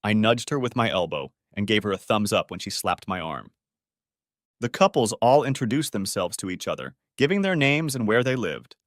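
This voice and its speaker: Lively male story narrator, dry close sound. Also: on the low side, moderate pace, no echo. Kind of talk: scripted first-person story narration.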